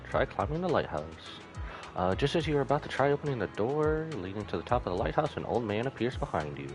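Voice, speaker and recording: A man's voice: mid-range voice, very animated, fast. A man live streaming a video game, switching between jokey casual reactions and reading the game's text aloud. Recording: game music underneath. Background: game music.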